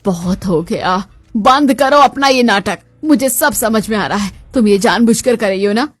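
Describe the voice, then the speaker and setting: A woman's voice: medium pitch, moderate pace, no room sound, soft background music, lightly acted. A woman voicing a stern, grieving middle-aged mother-in-law in a family cartoon, dramatic and reproachful. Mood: angry.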